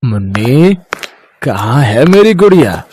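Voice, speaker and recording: A man's voice: mid-range voice, very animated, slow, a little mellow, a little hiss or hum. A man voicing a doting village farmer father in a cartoon story, tender and earnest. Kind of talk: Hindi moral-story cartoon voice acting.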